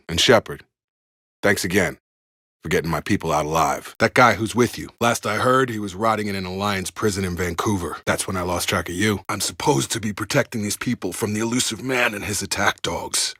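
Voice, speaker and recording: A man's voice: on the low side, fairly quick, fairly bright tone, husky, dry recording, studio quality. An actor playing an earnest, loyal male soldier in a video game, speaking plainly and sincerely as someone who protects his people. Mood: sincere, reflective. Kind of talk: dramatic game dialogue voice lines. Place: dry studio recording.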